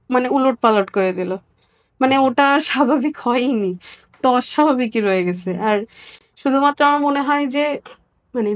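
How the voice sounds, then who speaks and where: A young woman with a Bangladeshi accent and a fairly high voice tells her personal story in an interview. Her delivery is earnest and halting, and she repeats phrases to stress her point. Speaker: interview guest, nurse.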